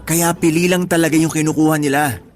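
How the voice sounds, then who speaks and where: A man's voice: on the high side, steady tone, quick pace, darkish tone, soft background music, natural voice. A man with a Filipino accent acting a practical, teasing friend of twenty-seven in colloquial radio drama dialogue. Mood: casual, informative. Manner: colloquial, bantering. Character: 27-year-old male friend, teasing, practical, slightly older.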